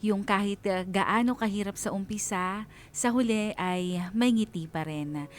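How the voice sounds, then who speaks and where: A woman with a Filipino accent narrating a scripted first-person story as a radio DJ, reflective and sentimental, with pauses. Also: medium pitch, a little hiss or hum. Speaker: radio DJ reading a listener's story.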